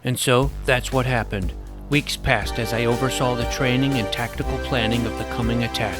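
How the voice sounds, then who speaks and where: A man's voice: medium pitch, fairly even intonation, moderate pace, over loud music, natural voice. A man playing a plucky boy hero, telling his own fantasy adventure in scripted first-person narration and dialogue. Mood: earnest storytelling. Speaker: narrator and lead character.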